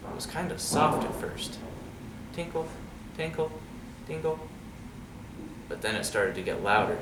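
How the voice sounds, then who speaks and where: A man reading a storybook aloud to young listeners in an expressive, dramatic way. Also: a little high, a little slow. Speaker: storybook reader. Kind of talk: animated read-aloud story with asides to children. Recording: roomy, distant mic, noisy background.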